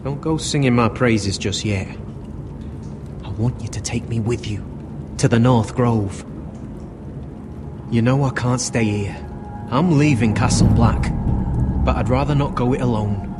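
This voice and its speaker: A man's voice: mid-range voice, a little slow, long pauses, lightly acted. Man playing a frank, loyal young watchman. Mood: earnest. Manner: frank, plain-spoken. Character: loyal, plain-spoken young male watchman, would-be deserter. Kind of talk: dramatic video game acting. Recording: music behind the voice.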